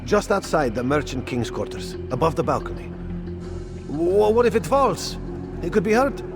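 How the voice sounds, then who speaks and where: A man's voice: on the high side, animated, normal speed. An actor playing a nervous, cowardly townsman in a video game, anxious and pleading in manner. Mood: worried. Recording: loud music behind the voice.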